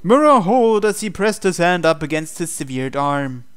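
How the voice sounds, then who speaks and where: A man's voice: on the high side, very animated, moderate pace, fairly bright tone, clear, resonant tone. A man reading a visual novel aloud in a dramatic storytelling narration style.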